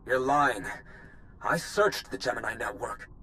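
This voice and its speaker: A man's voice: medium pitch, measured pace, bright, forceful tone, slight background noise, poor audio. A man playing an intense, vengeful conspirator in a dramatic video game cutscene. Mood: wary, probing. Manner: intense, resolute. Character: vengeful, zealous male conspirator villain.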